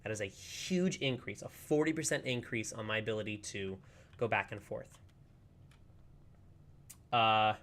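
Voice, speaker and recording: A man streaming a game in casual, rambling chat, slightly hoarse as he recovers from a lost voice. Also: medium pitch, bright, forceful tone. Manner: chatty, upbeat, rambling with many fillers. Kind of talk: casual livestream chat while playing.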